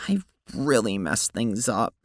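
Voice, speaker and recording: A woman's voice: rumbling low, very animated, slow pace, background noise, full character voice. A woman voicing a sheepish, stammering girl in an audio drama.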